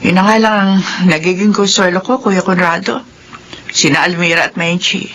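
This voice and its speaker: A woman's voice: fairly low pitch, fairly even intonation, normal speed, a bit bright, slight background noise, lightly acted, poor audio. An actress playing a strict, worried mother who scolds her children in a classic radio drama. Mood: weary. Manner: scolding, exasperated.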